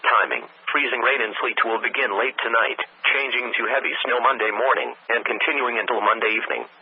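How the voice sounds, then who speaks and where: A synthetic text-to-speech man reads a scripted weather warning bulletin over the radio in measured, impersonal, segmented phrases. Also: medium pitch, steady tone, creaky, breathy edge, no room sound. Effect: weather radio broadcast. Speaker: automated weather radio announcer. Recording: tinny narrowband weather radio broadcast.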